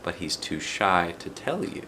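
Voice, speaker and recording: A man's voice: low-pitched, very animated, unhurried, sharp tone, a little hiss or hum, heavily acted. A man voicing a patient, kindly but exasperated woman schoolteacher explaining things to a small child in a storybook. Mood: amused, kindly. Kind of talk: children's storybook read aloud.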